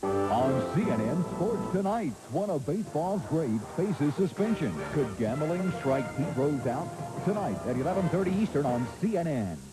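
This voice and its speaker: A man's voice: fairly high pitch, big pitch swings, normal speed, over loud music, low-quality recording. A man announcing a television sports show promo, on an old broadcast tape.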